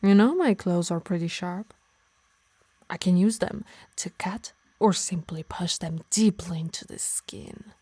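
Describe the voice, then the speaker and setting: A woman voicing a possessive, doting yet menacing harpy in an intimate ASMR roleplay, teasing and coaxing softly, often in whispers. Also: lowish voice, unhurried, dry recording. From Italy. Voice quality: breathy, soft. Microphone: close, dry microphone.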